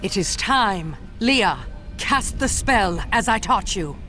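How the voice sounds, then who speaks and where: A woman's voice: mid-range voice, animated, slow pace, over music. An actress playing a scheming witch mother in a video game cutscene, solemn and persuasive.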